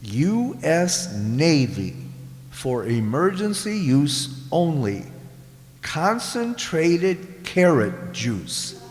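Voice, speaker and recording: Man playing an excitable carrot dignitary, swooping voice. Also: a little high, extremely slow, heavily acted. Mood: courteous. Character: excitable carrot official, addressed as Your Excellency. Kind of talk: satirical radio comedy acting. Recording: hall-like reverberant stage sound.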